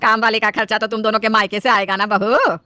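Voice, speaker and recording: A woman plays a bossy, stingy mother-in-law from an Indian family story. The voice is high and sharp, always demanding and finding fault. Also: steady tone, fast, dry recording. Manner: scolding, demanding.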